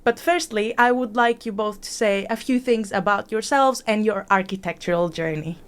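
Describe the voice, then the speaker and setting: A young woman hosts an interview podcast from a studio. Her voice is clear and smooth at a middle pitch, and she presents in a prepared, orderly way. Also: normal speed. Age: young adult. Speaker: podcast host.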